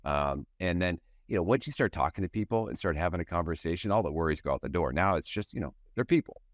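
A middle-aged man with a very low, deep voice, speaking as an interview guest. He tells stories from his working life in a reflective, unhurried way, with lots of "you know" fillers. The sound is dry and close, with no room echo. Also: lively intonation. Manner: thoughtful storyteller, frequent "you know" fillers. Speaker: guest, sales professional.

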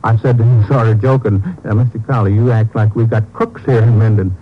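Small-town Texas clerk, Texan drawl, folksy, deferential, slightly rough voice, dull vintage radio sound. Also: male voice, fairly low pitch, steady tone, a little fast. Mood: chatty, confiding. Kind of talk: 1950s radio drama acting. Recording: muffled vintage radio recording.

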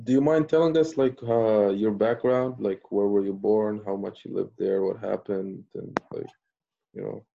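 Host: blunt, casual man, gravelly dark voice, dull lo-fi sound. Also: on the low side, fairly even intonation, moderate pace, a little hiss or hum. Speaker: podcast host. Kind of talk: casual interview.

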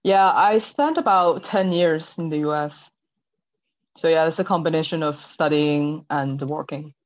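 A woman's voice: sits low, measured pace. Woman in her 30s from china, to the point, lots of ums, dull lo-fi audio. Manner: concise, hesitant with fillers. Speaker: interview guest, tech leader. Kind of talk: casual interview answers.

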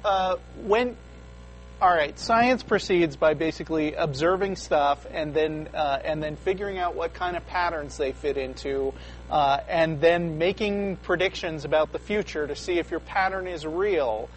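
A man's voice: fairly high pitch, low-quality recording. A young man co-hosting a call-in show, explaining ideas casually with lots of fillers and restarts. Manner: halting, many fillers and restarts. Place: studio.

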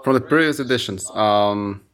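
A man with a lowish voice recounts a memory in an unhurried, chatty way. He restarts phrases often and speaks like he is among friends on a podcast. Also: smooth. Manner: relaxed storytelling with frequent restarts. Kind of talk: casual conversation.

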